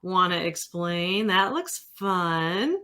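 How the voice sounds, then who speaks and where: A woman's voice: fairly low pitch, animated, slow pace, pushed and bright. Chatty woman hosting an interview. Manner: chatty, informal. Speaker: interview host. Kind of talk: casual conversational interview.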